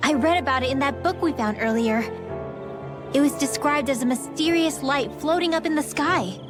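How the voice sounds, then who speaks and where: A woman's voice: high voice, normal speed, natural voice. A woman voicing an earnest, bookish teenage girl adventurer in a video game cutscene. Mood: eager, explaining. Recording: music behind the voice.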